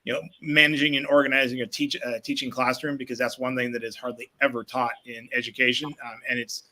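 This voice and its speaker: Bright, quick-talking man. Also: medium pitch, level tone, low-quality recording. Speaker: educator, podcast panel guest. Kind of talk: conversational explanation.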